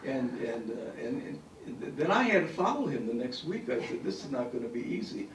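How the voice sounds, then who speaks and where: A retired professor in his later years speaks with a raspy, hoarse voice. He rambles through stories full of fillers. The sound is echoey and comes from across the room. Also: mid-range voice, sing-song, normal speed, recorded from a distance, background noise. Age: older. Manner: rambling anecdotes with frequent fillers. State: hoarse from bronchitis. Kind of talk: speaking at a farewell event. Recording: echoey hall recording from afar.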